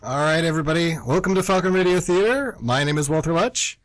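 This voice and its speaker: A man's voice: a little high, expressive, fast talker, dry recording. A man hosting an amateur radio theatre show, presenting informally to listeners. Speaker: radio theatre host and actor.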